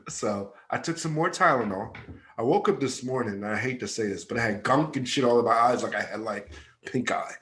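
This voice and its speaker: A man's voice: medium pitch, normal speed, bright, forceful tone. A man with a stuffed-up, congested voice, telling stories in a laid-back, slangy way as a podcast co-host. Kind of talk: casual chat.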